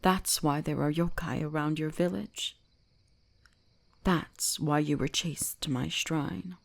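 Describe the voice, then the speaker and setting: A woman plays an ancient kitsune shrine maiden in an intimate ASMR roleplay. She speaks very slowly and breathily, often slipping into whispers, with a creaky edge. Her manner is soothing, possessive and gently commanding. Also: deep voice, steady tone, exaggerated voice. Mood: regretful, solemn.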